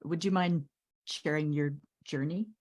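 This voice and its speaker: A woman hosting a podcast, introducing her guest warmly and enthusiastically. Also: low-pitched, fairly even intonation, unhurried. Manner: warm, admiring, enthusiastic. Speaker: podcast host. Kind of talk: interview host.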